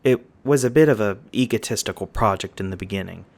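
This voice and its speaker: Easygoing magi guide, played by a man, gravelly, patient fantasy storyteller for ASMR roleplay. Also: lowish voice, fast talker. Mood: storytelling, thoughtful. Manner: folksy, patient explaining.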